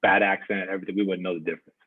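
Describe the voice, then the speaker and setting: Husky male host on a tinny line. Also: low-pitched, little pitch movement, rapid-fire. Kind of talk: casual video-call chat. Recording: phone-like video call audio.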